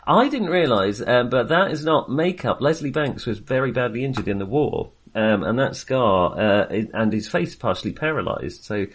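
A man's voice: medium pitch, lively intonation, moderate pace, hardly any pauses. A man chatting casually and enthusiastically about films as a podcast co-host, rambling and hesitating as he goes. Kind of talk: casual, chatty film discussion.

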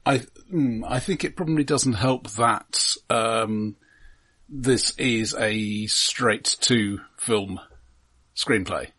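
A man co-hosting a casual film discussion, dry and measured, choosing his words with some halting. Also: mid-range voice, a bit bright, breathy edge. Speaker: film podcast co-host. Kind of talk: casual film review chat.

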